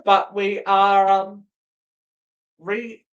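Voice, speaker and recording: A man's voice: sits high, level tone, very slow, a bit bright, highs cut off. A man co-hosting in casual conversation, telling stories in a rambling, enthusiastic way. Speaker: co-host. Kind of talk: casual chat.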